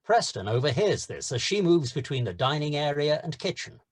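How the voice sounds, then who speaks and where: Husky, animated male screenplay narrator. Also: fairly high pitch, moderate pace. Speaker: narrator reading stage directions. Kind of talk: scripted narration at a table read.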